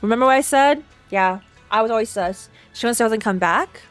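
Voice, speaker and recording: A woman's voice: fairly high pitch, moderate pace, faint music behind. A woman streamer giving casual reaction commentary, thinking aloud and repeating herself in a chatty way. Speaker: streamer reacting to a game story.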